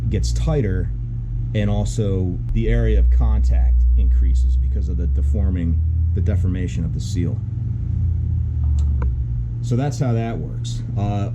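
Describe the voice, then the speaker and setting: A middle-aged man giving a hands-on technical explanation as a mechanic, matter-of-fact and halting as he thinks aloud. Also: fairly low pitch, heavy background noise. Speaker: auto mechanic instructor.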